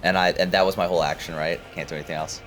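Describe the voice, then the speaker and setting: A man's voice: deep voice, steady tone, racing, a bit bright. A man playing a tabletop game, talking casually with the group. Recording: background music under voice. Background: background music.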